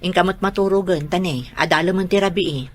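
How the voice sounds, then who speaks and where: A woman's voice: on the low side, fairly quick, natural voice. A woman acting a caring, inquisitive older sister in an Ilocano radio drama, with an Ilocano accent.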